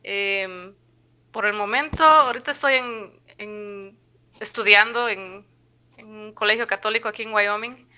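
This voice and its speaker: A woman in her mid-twenties from Guatemala, speaking as a guest on a podcast. Her voice is very bright and crisply clear, and she talks hesitantly, piling up fillers and restarts as she thinks aloud. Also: medium pitch, a little slow. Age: 20s. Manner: hesitant, many fillers, laughs lightly. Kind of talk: conversational interview.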